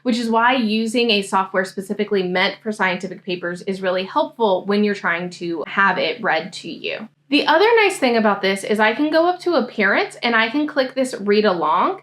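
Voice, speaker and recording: A woman coaching grad students, giving a friendly software tutorial walkthrough. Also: mid-range voice, expressive, moderate pace, hardly any pauses. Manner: friendly, explanatory screen-demo talk. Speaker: science grad school coach.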